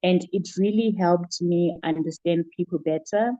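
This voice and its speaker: A middle-aged woman with a Zimbabwean accent answers interview questions reflectively, often pausing and repeating words as she goes. Her voice is fairly low and very mellow. The recording sounds dull and muffled. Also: no echo. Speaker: interview guest, child protection worker.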